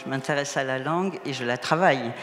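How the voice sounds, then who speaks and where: A woman's voice: rumbling low, quick pace, soft background music. Woman's voice in a reverberant hall. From Moselle, eastern Lorraine, France. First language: Moselle Franconian German dialect. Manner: animated and emphatic, repeating words, many restarts. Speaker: novelist guest on a panel. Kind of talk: round-table discussion at a book fair. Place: public event hall. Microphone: table microphone. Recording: echoey hall with long reverb.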